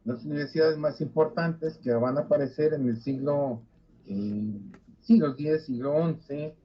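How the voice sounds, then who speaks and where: Older man lecturing, husky. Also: fairly high pitch. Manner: halting, many fillers and restarts. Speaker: university lecturer. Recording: dull lo-fi recording.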